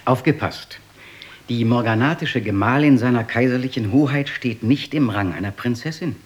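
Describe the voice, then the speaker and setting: An actor in a radio drama playing a fussy, gossipy protocol official with a precise, knowing manner. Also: male voice, mid-range voice, normal speed, close to an everyday voice. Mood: officious.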